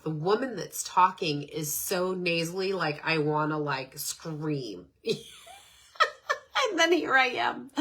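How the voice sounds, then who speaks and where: A woman's voice: fairly low pitch, a bit bright. A middle-aged woman with a stuffed-up nose and a nasal voice, hosting a casual, rambling self-help talk with many fillers. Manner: chatty and rambling, with frequent fillers. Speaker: podcast host.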